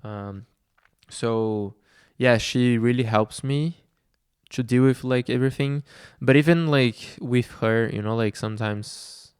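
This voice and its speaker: Man, slow unhurried talk, very clear dry voice, hesitant with fillers. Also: mid-range voice, a little mellow, no room sound. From Brazil. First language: Portuguese. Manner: hesitant, many fillers and restarts. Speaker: vlogger. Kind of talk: reflective personal talk.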